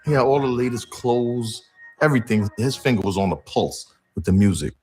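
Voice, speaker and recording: A man's voice: medium pitch, animated, moderate pace, darkish tone, faint music behind. A man in a documentary interview, fondly describing an old friend.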